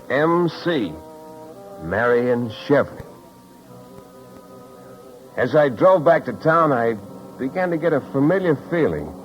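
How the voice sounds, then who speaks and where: Hard-boiled male private eye played by an actor, lively low delivery, vintage radio sound with music. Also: natural voice. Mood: matter-of-fact. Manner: wry, hard-boiled, clipped. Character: wry, tough male private detective of 1950s radio. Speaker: lead actor and narrator. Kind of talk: 1950s radio detective acting, first-person narration. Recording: dull 1950s radio recording, music behind.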